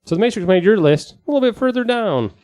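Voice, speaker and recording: A man's voice: sits high, animated, fast, darkish tone, clear, resonant tone. A man hosting a podcast in casual conversation, tossing in wry jokes. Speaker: podcast host. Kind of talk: casual chat. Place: podcast studio.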